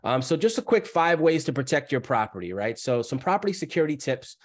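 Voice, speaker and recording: A man with a cold, sounding scratchy, presenting as the guest speaker on a webinar over a video call in a brisk, upbeat way. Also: medium pitch, big pitch swings.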